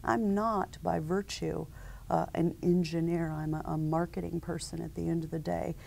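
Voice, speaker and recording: Low, gravelly businesswoman in interview. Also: fairly even intonation, darkish tone. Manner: measured, businesslike, with frequent "uh" and "um". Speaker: company founder, interview guest. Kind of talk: conversational interview answers. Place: conference interview set, seated in armchair.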